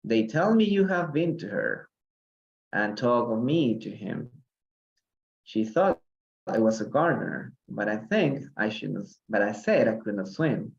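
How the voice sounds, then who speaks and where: A man's voice: on the low side, very animated, measured pace, creaky, highs cut off. Man from Peru teaching English live. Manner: encouraging, hesitant with many fillers. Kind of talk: live online reading lesson, switching to Spanish. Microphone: livestream call audio.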